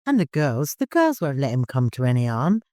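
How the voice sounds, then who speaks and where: A man voicing a brisk, reassuring woman of a desert camp in an audiobook. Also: mid-range voice, wildly swooping pitch, mellow, no room sound, studio quality.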